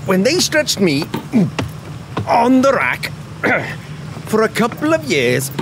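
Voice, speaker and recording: Casting: keen oddball pirate, high voice with wild pitch swings, earnest, comic. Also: male voice, exaggerated voice. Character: stoic, oddball, slavishly keen male pirate crewman. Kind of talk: comic audio drama acting. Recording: noisy ambience behind the voice.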